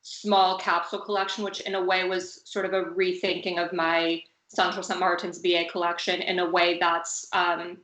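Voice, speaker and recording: Bright, flat woman on a video call. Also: lowish voice, moderate pace, hardly any pauses, roomy sound, poor audio. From California, United States. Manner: hedging, many fillers like "sort of" and "um". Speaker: fashion designer and host. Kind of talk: casual live-streamed conversation.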